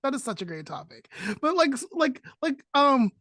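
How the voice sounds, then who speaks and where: A woman's voice: high voice, big pitch swings, moderate pace, a bit bright, no echo, clean studio sound. A woman in her thirties co-hosting a casual podcast, talking with many hesitations and laughing along. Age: 30s. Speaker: podcast co-host.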